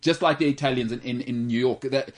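A man's voice: medium pitch, fast talker. A man from South Africa hosting a casual sports talk radio show, chatty and jokey, often repeating his words. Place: radio studio.

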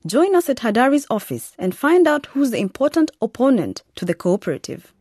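Mellow, expressive woman narrator. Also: fairly high pitch, fairly quick, slightly rough, dry recording. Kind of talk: scripted narration.

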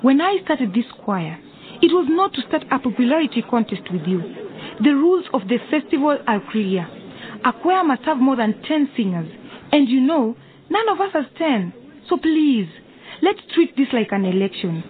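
High, lively schoolgirl peacemaker. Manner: persuasive, encouraging. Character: Betty, earnest schoolgirl choir leader, persuasive peacemaker. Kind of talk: radio drama acting. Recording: narrow phone-like sound.

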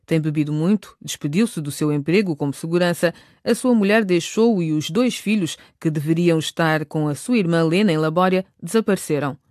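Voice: medium pitch, a little fast, few pauses, darkish tone. A scripted narrator of a radio drama, with a mid-range voice. The sound is dry and close, free of room echo.

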